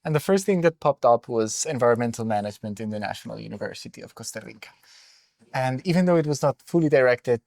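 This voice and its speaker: A middle-aged Colombian man speaking English as a second language, his accent plain as he reflects on the path that led him to run a school. His voice sits at an ordinary pitch and sounds close and dry, with no room around it. He talks in a thoughtful, storytelling way, pausing often with ums. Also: animated, vocal fry, darkish tone, no room sound. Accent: Colombian Spanish. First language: Spanish. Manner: reflective storytelling with many fillers. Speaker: school director, interview guest. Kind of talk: conversational interview.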